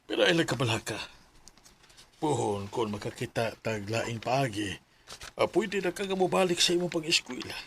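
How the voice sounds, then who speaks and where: A man voices a worn-down middle-aged shopkeeper father in a radio drama. His voice is gravelly and bright-edged, and his talk is gentle and halting as he reassures his child. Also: mid-range voice, animated, a little hiss or hum. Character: weary older shopkeeper father of a student.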